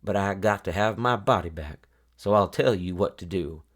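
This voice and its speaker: A man voicing a patient, gently stern old country woman in a children's story, with a folksy manner. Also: sits low, normal speed. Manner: folksy, muttering hums.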